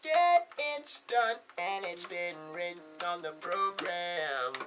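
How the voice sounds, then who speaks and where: A man's voice: high-pitched, sing-song, slow, over music, pitched above the performer's own voice, heavily acted. A man performing a funky, rhythmic robot voice through a talk box synthesizer, half singing in repeated musical phrases. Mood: funky, playful.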